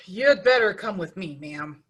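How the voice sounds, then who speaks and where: Woman acting a husky, polite police patrolman. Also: mid-range voice, expressive, slow. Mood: grave, official. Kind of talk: radio play acting.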